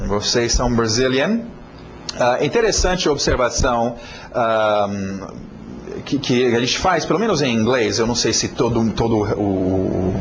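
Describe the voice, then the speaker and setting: A man's voice: medium pitch, slight background noise. A man with an American accent, a native English speaker, teaching a video lesson and stretching out words and chanting rhythm syllables to demonstrate them. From United States.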